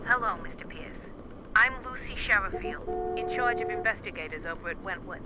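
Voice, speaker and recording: An actress playing a formal, stern detective agency supervisor in a video game, businesslike and admonishing over the telephone. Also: female voice, mid-range voice, expressive, a little fast. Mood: formal, probing. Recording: dull telephone call with clear noise.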